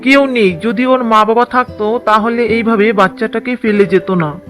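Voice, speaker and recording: A man voicing a gentle, earnest village husband in a cartoon, with faint music behind. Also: high voice, fairly even intonation, normal speed. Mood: tender. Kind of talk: cartoon dub acting.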